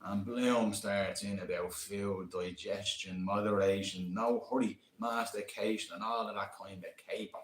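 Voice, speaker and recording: A man's voice: on the low side, steady tone, unhurried, hardly any pauses. A man narrating with a dry, wry storytelling manner at a live reading. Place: community centre hall, live event. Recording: roomy hall echo.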